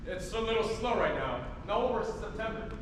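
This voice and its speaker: Young man acting a high-voiced, evasive musician husband. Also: expressive, fairly quick. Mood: defensive, dismissive. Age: young adult. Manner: dismissive, defensive. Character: evasive, absent-minded jazz musician husband and father. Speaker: student actor. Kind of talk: theatrical stage acting. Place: large indoor theatre stage. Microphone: distant recorder. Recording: echoey noisy auditorium from far off.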